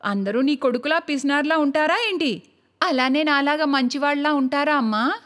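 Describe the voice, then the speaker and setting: A woman voicing a demanding, sharp-tongued, scheming young married daughter in a cartoon moral story. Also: sits high, normal speed. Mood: wheedling, smug.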